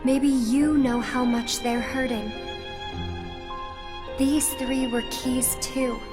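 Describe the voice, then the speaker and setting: A woman playing a gentle, wistful teenage girl in a video game, speaking softly and solemnly. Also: sits high, flat delivery, slow, over music. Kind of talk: video game cutscene acting.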